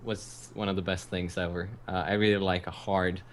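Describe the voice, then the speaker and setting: A man's voice: low voice, little pitch movement, normal speed, a little hiss or hum. A man from Norway, a guest in a casual gaming chat. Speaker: podcast guest, gamer.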